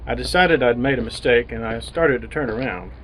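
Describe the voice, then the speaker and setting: A man's voice: medium pitch, a little fast, a bit bright, a little hiss or hum, natural voice, low-quality recording. An actor playing an earnest, jaded young ad man who narrates his misadventures in old-time radio drama style. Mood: wry, brooding.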